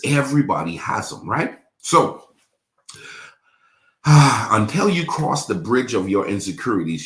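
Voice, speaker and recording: A man's voice: deep voice, fairly bright tone, echoey room. A man in his forties preaching a sermon, repeating his points and leading the congregation in affirmations. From Bahamas. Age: 40s. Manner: repetitive call-and-response preaching, leads congregation in affirmations. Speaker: pastor.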